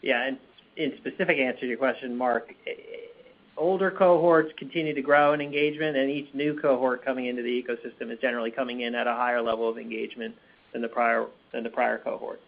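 A man giving measured corporate answers as a company executive on an earnings call. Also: mid-range voice, fairly even intonation, creaky. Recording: muffled phone conference line.

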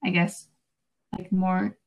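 A woman's voice: lowish voice, completely flat delivery, takes it slowly, highs cut off. A young woman, a student whose first language is Spanish, talking reflectively on a panel with many fillers and restarts. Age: young adult. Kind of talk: casual panel discussion.

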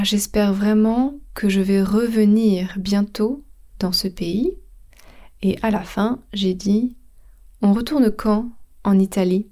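Slow, mellow-voiced French teacher. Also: female voice, medium pitch. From France. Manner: slow, careful explanation mixing French and English. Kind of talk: podcast language lesson.

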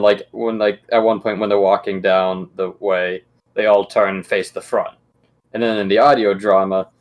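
Male co-host with a fairly low voice, casually talking through film details. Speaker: podcast co-host. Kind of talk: casual explanatory chat.

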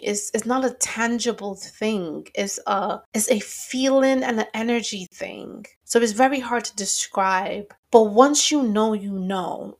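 A woman hosting her own podcast, talking thoughtfully and earnestly in a reflective solo monologue with a slight stammer. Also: mid-range voice. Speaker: podcast host.

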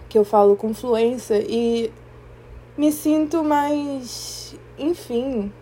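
A young woman with a Brazilian Portuguese accent, hosting a podcast in a reflective personal monologue, thoughtful and often pausing to search for the right word. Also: a little high, dark tone, slight background noise. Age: young adult. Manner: thoughtful, searching for words, pauses and restarts. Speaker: podcast host.

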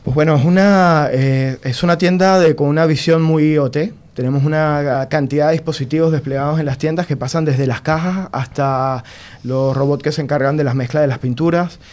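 A man's voice: fairly high pitch, steady tone, fairly quick, darkish tone. Venezuelan man, hesitant interview guest. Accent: Venezuelan Spanish. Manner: hesitant, many fillers and restarts. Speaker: guest, company security chief. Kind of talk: radio interview.

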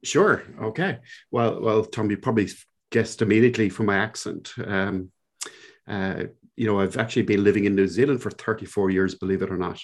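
A man's voice: fairly low pitch, brisk. A man around sixty with a Cork Irish accent. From Ireland. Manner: reflective, rambling with fillers. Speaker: podcast guest. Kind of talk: conversational interview.

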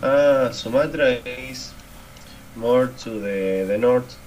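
A man in his twenties from Argentina with an Argentine Spanish accent, chatting casually and haltingly in English as a second language, often repeating words. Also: mid-range voice, darkish tone, faint music behind. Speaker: English learner in conversation practice. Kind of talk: casual chat. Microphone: online voice call. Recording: noisy online call.

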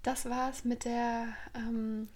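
A woman co-hosting a podcast, chatting casually and warmly, gushing, laughing often and filling with "ähm". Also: on the high side, flat delivery, extremely slow. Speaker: podcast co-host. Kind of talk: casual chat.

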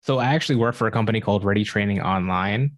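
A man on a podcast panel, explaining in a relaxed, practical way how he prepares guests. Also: fairly low pitch, rapid-fire, no room sound, studio quality. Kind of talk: casual panel chat.

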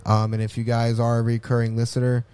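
A man co-hosting a podcast in casual chat, speaking in a monotone with little emotion. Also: medium pitch, fast, clean tone, no echo. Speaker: podcast co-host.

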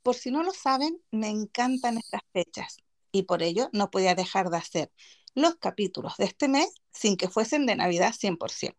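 A woman's voice: medium pitch, lively intonation, moderate pace. A woman from Chile with a Canary Islands Spanish accent, hosting a casual podcast chat, chatty and giggly. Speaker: podcast host.

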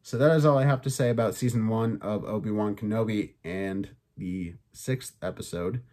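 Male podcast host, lowish pitch, slightly raspy and sore, casual and chatty, swears freely. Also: normal speed, darkish tone. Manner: loose and chatty, swears, many fillers. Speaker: podcast host reviewing films and shows. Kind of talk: casual review chat.

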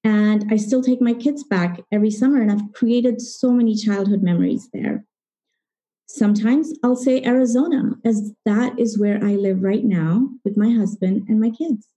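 A woman's voice: mid-range voice, normal speed, warm tone. A middle-aged woman from Zambia telling a personal story at a live event, reflective and posing rhetorical questions, acting out bits of dialogue.

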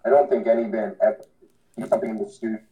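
A man with a low, dark and relaxed voice talks casually as an interview guest. He rambles earnestly through his answers in loose, slangy speech. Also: very fast, low-quality recording. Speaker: podcast guest, hardcore band vocalist.

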